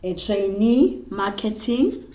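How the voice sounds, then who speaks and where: South African woman, Zulu accent, mid-pitched teacher dictating slowly, code-switching, echoey classroom. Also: lively intonation, dark tone. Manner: mixes Zulu and English, dictates slowly while writing. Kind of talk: classroom lesson on job applications. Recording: roomy, echoey room.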